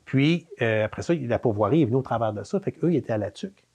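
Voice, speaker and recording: A man in his fifties speaks with a Quebec French accent, at a mid pitch. He rambles through casual stories, circling back with fillers and restarts. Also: animated, fast, a little mellow, breathy edge. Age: 50s. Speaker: interview guest, manor co-owner. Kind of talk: casual storytelling interview.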